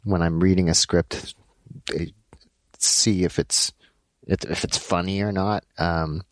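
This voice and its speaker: A man from Los Angeles talks about his work as an animation director in a halting way, with frequent ums and uhs. His voice is very low. The recording is dry, with no room sound. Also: steady tone, no room sound. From Los Angeles, United States. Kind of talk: interview answers.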